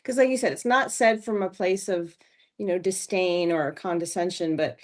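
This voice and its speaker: A woman's voice: fairly low pitch, normal speed. A middle-aged woman co-hosting a podcast in casual chat, talking in a chatty, teasing way with lots of "like". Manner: chatty, teasing, says "like" a lot. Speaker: podcast co-host.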